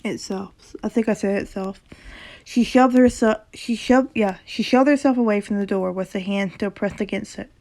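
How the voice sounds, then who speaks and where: A woman with a sore throat and a slight rasp, casually reading a horror story aloud, often stumbling over words and correcting herself. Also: mid-range voice, normal speed, darkish tone. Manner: reacts casually between passages.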